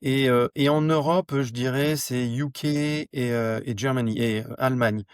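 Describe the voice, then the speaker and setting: A man talks as a guest on a tech podcast. His pitch is average and the sound is very dry. He explains things in a casual, conversational way. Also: steady tone, a little slow, clear, resonant tone, no room sound. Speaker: podcast guest, product manager.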